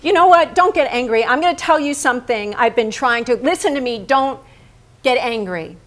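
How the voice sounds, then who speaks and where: A woman playing a needling, self-lacerating painter in a theatrical staged reading, deliberate and pointed. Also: high-pitched, expressive, fairly bright tone. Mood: provoking.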